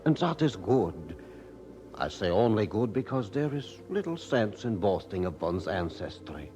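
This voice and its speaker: A reflective older reformer. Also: male voice, on the low side, sing-song, dark tone. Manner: measured, reflective storytelling. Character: older Martin Luther narrating his life, reflective. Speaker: narrator. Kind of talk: radio drama narration. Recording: music under narration.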